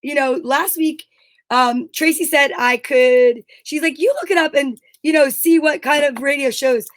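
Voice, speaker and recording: A woman's voice: sits high, moderate pace, dry recording. An upbeat, enthusiastic woman chatting casually as co-host of a live online comedy show.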